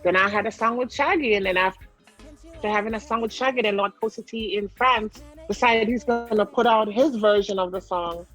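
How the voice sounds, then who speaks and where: A woman's voice: mid-range voice, normal speed, a bit bright, soft background music. A young adult woman with a light Jamaican accent, telling her story as an interview guest in a chatty, rambling way full of fillers.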